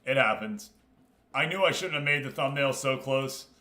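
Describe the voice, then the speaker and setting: A man's voice: medium pitch, normal speed, pushed and bright. A man hosting a casual game livestream, talking informally and directly to his viewers. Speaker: streamer and host. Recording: roomy, echoing room sound.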